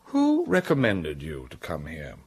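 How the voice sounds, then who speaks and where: An actor playing an imperious gentleman in a radio drama, questioning curtly. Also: a bass voice, wildly swooping pitch, measured pace, breathy edge, heavily acted. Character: brusque, commanding gentleman of the manor.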